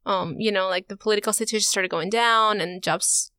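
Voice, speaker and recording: A woman's voice: mid-range voice, fairly even intonation, brisk, bright, forceful tone, clear, resonant tone, no room sound, studio quality. A young woman from Venezuela, a college student answering interview questions in a chatty way, peppered with "you know" and "like". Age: young adult. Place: studio.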